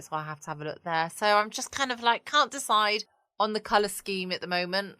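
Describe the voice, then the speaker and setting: A woman's voice: medium pitch, bright, forceful tone, no echo. A woman chatting casually and wryly as the host of a late-night bedtime podcast. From United Kingdom.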